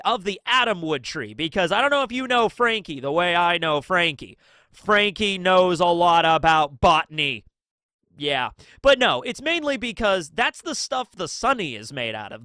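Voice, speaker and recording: Male commentary host, high-pitched and chatty, fighting a cold, with a scratchy, sniffly voice. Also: no room sound. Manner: chatty, excitable, asks rhetorical questions. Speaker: channel host. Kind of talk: casual commentary video.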